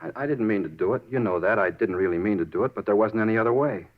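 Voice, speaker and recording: A man's voice: on the low side, fast, close to an everyday voice. An actor playing a troubled, remorseful man in a 1950s radio crime drama, earnest and insistent. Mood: remorseful, pleading. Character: troubled, remorseful man fixated on his confession. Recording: dull 1950s radio recording.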